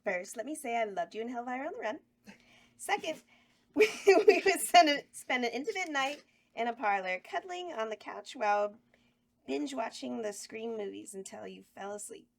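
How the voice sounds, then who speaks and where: A woman's voice: fairly high pitch, lively intonation, normal speed, creaky. A woman reading the part of a scheming, bawdy horror hostess at a table read. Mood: flirtatious. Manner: brash, bawdy, giggles through lines.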